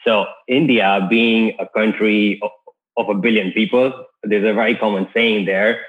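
A man's voice: lowish voice, steady tone, normal speed. Easygoing man on a tinny line. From Kenya. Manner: easygoing, with frequent restarts. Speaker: guest. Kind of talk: casual podcast interview.

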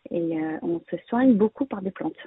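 A woman gives plant-care advice as a guest expert, her voice strongly raspy and gravelly. She talks hesitantly, restarting sentences around fillers. The sound is dry and narrow, like a phone line. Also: medium pitch, animated, moderate pace, no echo. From Vietnamese heritage, living in France.

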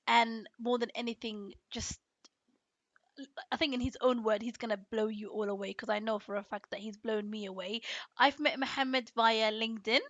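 A woman's voice: fairly high pitch, sharp tone, dry recording, muffled recording. A woman hosting a podcast, introducing her guest enthusiastically and repeating her phrases. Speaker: podcast host. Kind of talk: podcast interview, upbeat intro.

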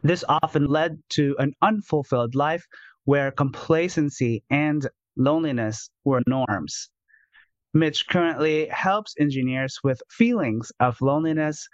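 A man's voice: a little high, measured pace, no room sound, highs cut off. Upbeat male podcast host, dry close sound. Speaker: podcast host and life coach. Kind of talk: scripted intro then interview questions.

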